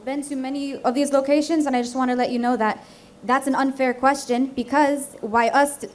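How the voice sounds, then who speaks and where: A woman's voice: high voice, fairly even intonation, a little hiss or hum. A young woman, a college student, arguing defensively in a campus debate and tripping over her words. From United States. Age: young adult. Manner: defensive, hurried, stumbling.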